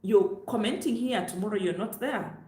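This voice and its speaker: A Kenyan-accented woman speaks straight to her audience in a forceful, preachy way, pressing her points home. Her mid-range voice carries a noticeable room echo, as if she is sitting in a bare room of her house. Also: medium pitch, normal speed. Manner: emphatic, preachy, addresses viewers directly. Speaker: travel vlogger. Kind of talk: direct-to-camera advice vlog. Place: room on the upper floor of her home, seated.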